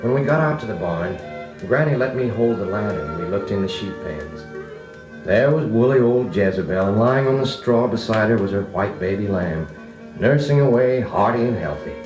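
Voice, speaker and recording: A man's voice: lowish voice, animated, moderate pace, close to an everyday voice. A man playing a grown-up recalling his farm boyhood, narrating in a folksy, nostalgic American voice like an old radio drama. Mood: building wonder. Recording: echoey vintage record with music.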